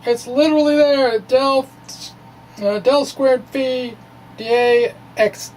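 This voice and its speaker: A man giving an informal explanatory lecture in a halting, conversational way, with asides. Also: extremely high pitch, steady tone, a little mellow, low-quality recording. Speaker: physics blogger presenting derivations.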